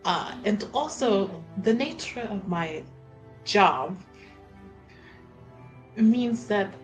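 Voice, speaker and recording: A woman's voice: mid-range voice, expressive, very slow, low-quality recording. Woman narrating her ttrpg character, informal, very up and down, faint music behind. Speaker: player in a tabletop roleplaying game. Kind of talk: casual narration of her character's actions mixed with improvised dialogue.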